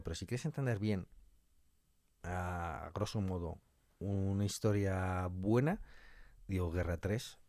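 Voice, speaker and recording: A man's voice: on the low side, a little mellow, no echo, clean studio sound. A man with an accent from Spain, chatting casually as an interview guest in an effusive, colloquial way, repeating things for emphasis. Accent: Spanish from Spain. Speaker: interview guest, audio fiction scriptwriter.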